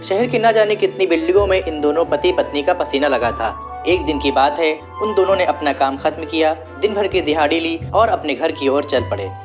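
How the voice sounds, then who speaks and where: A low-pitched woman storyteller delivers scripted narration for a children's tale. Music plays clearly beneath her words. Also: expressive, normal speed.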